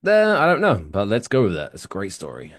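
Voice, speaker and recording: Lively, low-voiced man telling stories. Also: quick pace, no echo, clean studio sound. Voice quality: animated storyteller, rising emphatic outbursts. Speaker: podcast co-host. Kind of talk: casual chat.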